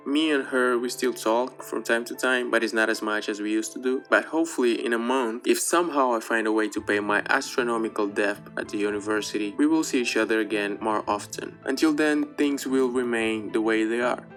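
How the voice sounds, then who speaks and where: A young man whose English carries a Portuguese accent tells a personal story in a casual, candid way, dropping the odd swear word. His voice sits at an ordinary middle pitch for a man. Soft guitar music plays faintly underneath. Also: brisk, few pauses. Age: young adult. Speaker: YouTuber.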